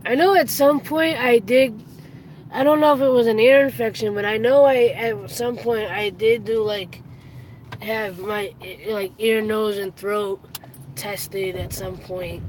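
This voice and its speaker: A raspy-voiced live streamer with heavy breathing, rambling casually while driving a car. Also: medium pitch, steady tone, a little slow, low-quality recording. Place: inside a moving car. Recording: road and car noise.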